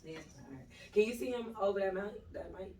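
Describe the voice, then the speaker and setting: A woman co-hosting a podcast, lively and quick to jump in and joke. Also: medium pitch. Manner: lively, interjecting and joking. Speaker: podcast co-host. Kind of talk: casual interview chat. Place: echoey podcast room. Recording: echoey room, distant mic, background noise.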